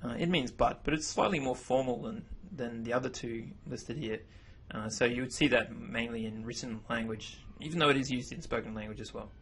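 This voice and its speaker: A man whose first language is English, explaining grammar over slides in a relaxed voice-over, often repeating himself as he goes. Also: mid-range voice, brisk, few pauses, a little hiss or hum, poor audio. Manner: relaxed, self-correcting explanations. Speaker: language teacher. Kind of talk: narrated slideshow lesson.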